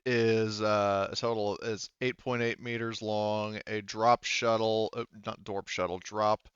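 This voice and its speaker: Male game master, smooth and clear, casual, halting rules explainer who keeps checking himself; slightly muffled mic. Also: fairly low pitch, flat delivery, fairly bright tone, dry recording. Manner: hesitant, self-interrupting, often double-checking.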